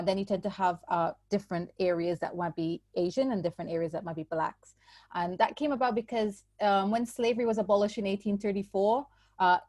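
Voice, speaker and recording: A woman, giving a measured, explanatory personal account as a guest. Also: medium pitch, a little mellow, dry recording. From Trinidad and Tobago. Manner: measured, explanatory, qualifies her points. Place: church recording.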